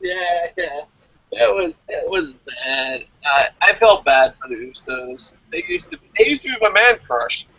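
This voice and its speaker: A man's voice: fairly high pitch, big pitch swings, unhurried, sharp tone, slightly rough, no echo, highs cut off. A man co-hosting a wrestling review show in casual, opinionated chat, speaking bluntly and with plenty of swearing. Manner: profane, blunt.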